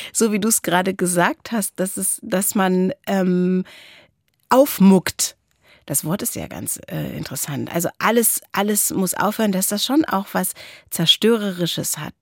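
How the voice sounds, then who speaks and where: A woman's voice: medium pitch, dry recording, studio quality. A woman co-hosts a discussion podcast, reading out listener mail and talking it over thoughtfully. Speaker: podcast co-host.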